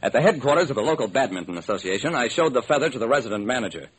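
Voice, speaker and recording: A man's voice: mid-range voice, lively intonation, racing, breathy edge. An actor playing a hardboiled American private detective who wryly narrates his own case in an old-time radio drama. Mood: wry, intent. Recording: noisy old radio recording.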